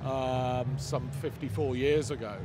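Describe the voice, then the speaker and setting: A man's voice: medium pitch, fairly even intonation, measured pace, over music. A man with an English accent answering interview questions at a trade show, stopping and restarting often. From England. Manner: hesitant, many repeated starts. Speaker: speaker company representative. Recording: noisy trade show floor.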